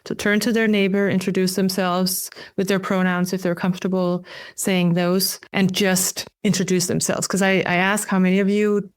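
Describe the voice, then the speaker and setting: Casting: woman academic from Denmark, non-native English, mid-pitched, careful interview explainer with hesitant repeats. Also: level tone, moderate pace. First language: not English. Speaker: guest, geography professor. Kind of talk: podcast interview, explaining own teaching.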